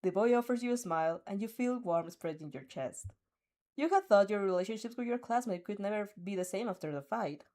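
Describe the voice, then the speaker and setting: A woman's voice: medium pitch, lively intonation, brisk, a little mellow. A young woman reading a fanfic aloud, often breaking off to laugh and react, stumbling and restarting as she goes.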